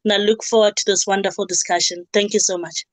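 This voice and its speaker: A woman introducing herself politely on an online panel, pausing to find her words. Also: medium pitch, steady tone, no echo. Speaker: panelist, youth forum member.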